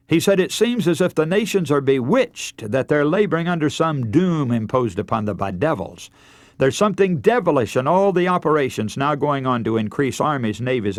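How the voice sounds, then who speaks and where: A man's voice: medium pitch, no echo. A middle-aged man preaching a radio sermon in an earnest, emphatic, oratorical manner. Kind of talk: radio sermon with scripture readings.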